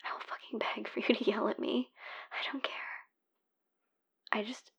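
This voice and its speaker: A woman's voice: on the high side, fairly even intonation, moderate pace, gravelly, breathy edge, lo-fi sound. A woman whispering as a heartbroken ex begging. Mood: desperate pleading. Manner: hushed, pleading, swears in frustration. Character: heartbroken young woman begging her ex back. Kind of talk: whispered audio roleplay voicemail.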